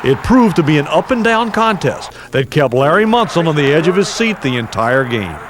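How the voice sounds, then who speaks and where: Measured male documentary narrator. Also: on the high side, very animated, background noise. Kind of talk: scripted narration.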